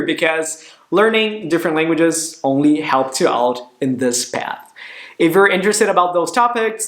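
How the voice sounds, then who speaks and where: A man's voice: sits high, a bit bright, roomy sound. A young man from southern Brazil, chatting upbeat to camera in a vlog about how he learned languages. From Curitiba, southern Brazil. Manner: chatty, upbeat, switches languages between sections. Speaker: language vlogger. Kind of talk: casual direct-to-camera vlog.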